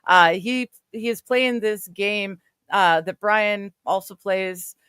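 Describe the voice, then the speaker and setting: A woman's voice: medium pitch, steady tone, takes it slowly, fairly bright tone, smooth, dry recording, clean studio sound. A woman in her forties chatting casually with friends on a stream, laughing often and poking fun at herself. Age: 40s. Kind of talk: casual chat.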